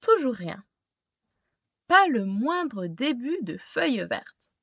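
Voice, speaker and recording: A woman reading a children's picture book aloud expressively, pausing to put simple questions to young listeners. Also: sits high, sing-song, fairly bright tone, no room sound, highs cut off. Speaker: storytime reader.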